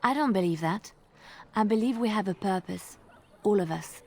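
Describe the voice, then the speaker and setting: An actress playing a devout, idealistic young companion in a fantasy game, speaking in a gentle, earnest way. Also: female voice, mid-range voice, normal speed, husky. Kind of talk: dramatic game dialogue.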